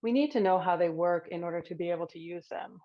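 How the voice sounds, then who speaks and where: A woman giving a prepared competition talk with a clear, persuasive delivery. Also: fairly low pitch, quick pace, highs cut off. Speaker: competition presenter.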